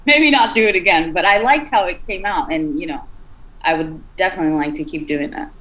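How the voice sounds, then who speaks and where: A woman singer with a raspy, rugged voice talks as a podcast guest. She keeps it loose and casual, with lots of "like" and "you know". Her voice sits low, and the recording sounds a little dull. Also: brisk. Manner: relaxed, many fillers like "like" and "you know". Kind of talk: casual interview talk.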